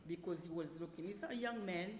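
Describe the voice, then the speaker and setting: A woman telling casual anecdotes in an animated way, laughing often and acting out what people said. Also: on the low side, fast. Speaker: guest, teacher of small children. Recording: roomy echoing space with faint noise.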